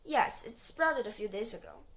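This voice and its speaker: A stern old tomato gatekeeper from a children's storybook, voiced by a woman. It talks firmly and officiously, in an expressive, fairly high voice. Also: normal speed. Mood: explaining.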